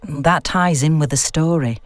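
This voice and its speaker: A woman's voice: deep voice, a little slow, dry recording. A woman voicing a knowing, unflustered female academic who tells spooky tales in an audiobook story. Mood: eerie storytelling. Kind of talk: audiobook dialogue.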